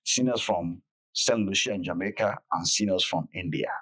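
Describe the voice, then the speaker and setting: A man explaining things phrase by phrase in a measured, conversational interview manner. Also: low-pitched, a bit bright, poor audio. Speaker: podcast guest, festival director.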